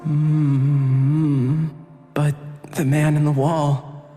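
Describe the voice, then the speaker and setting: A man playing a weary, meek child in a video game, speaking in a hesitant, halting way. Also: a little high, flat delivery, warm tone. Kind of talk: scripted video game acting. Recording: long reverb under faint music.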